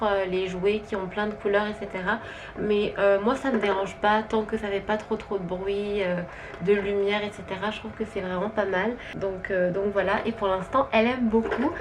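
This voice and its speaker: A young woman with a Quebec French accent, chatting gently through a casual haul vlog at home, thinking aloud as she goes. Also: mid-range voice, noisy background. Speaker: vlogger and stay-at-home mother. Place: at home with her baby asleep nearby.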